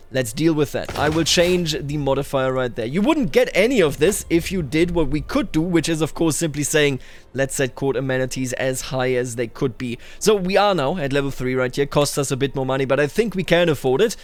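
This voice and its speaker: A man's voice: a little high, expressive, brisk. A man giving casual roleplay commentary on a strategy game, thinking aloud and talking straight to the game's characters. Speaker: gaming video host.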